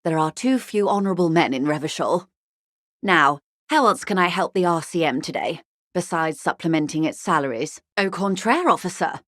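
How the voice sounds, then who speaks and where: An actress playing a wealthy corporate negotiator in a video game, refined and polished, speaking with urbane, wryly courteous, measured irony. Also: female voice, fairly low pitch, animated, fairly bright tone, no echo, clean studio sound. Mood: wry, composed. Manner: urbane, measured, dryly ironic. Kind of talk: scripted game dialogue, conversational.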